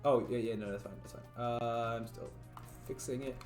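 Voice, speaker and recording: Male streamer, casual think-aloud coder, slightly raspy voice, roomy echo. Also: medium pitch, steady tone, moderate pace, a little mellow. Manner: thinks aloud, rambling, chats with viewers, frequent laughs. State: voice getting raspy from long talking. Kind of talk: casual livestream coding commentary. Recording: roomy echo, faint music.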